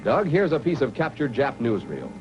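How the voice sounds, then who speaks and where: A man's voice: mid-range voice, wildly swooping pitch, normal speed, music in the background. An American man narrating a scripted 1940s military training film, instructing the listener directly on an old film soundtrack. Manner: instructional, direct second-person address. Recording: dull, faintly noisy 1940s film soundtrack.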